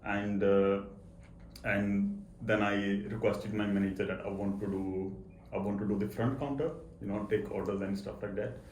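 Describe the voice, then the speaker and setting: A man with a stutter speaking haltingly and earnestly as an interview guest about his own experience. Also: fairly low pitch, fairly even intonation, a little mellow. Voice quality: stutter, with repeated words and blocks. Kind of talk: personal interview talk. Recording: echoey room, faint background noise.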